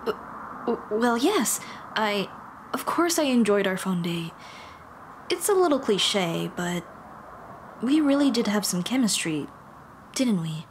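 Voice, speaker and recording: Young female dullahan played by a woman, nervous and apologetic. Hesitant, self-deprecating, intimate ASMR roleplay delivery, mid-pitched, over clear ambient noise. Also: sing-song, a little slow, long pauses. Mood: surprised, hopeful.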